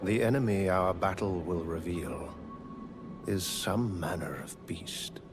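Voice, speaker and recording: An actor playing a humble machine attendant in a video game, polite and formal like a butler. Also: male voice, sits low, takes it slowly, over music. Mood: courteous, humble. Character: humble, courteous war-machine construct turned housekeeping attendant. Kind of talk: scripted video game dialogue.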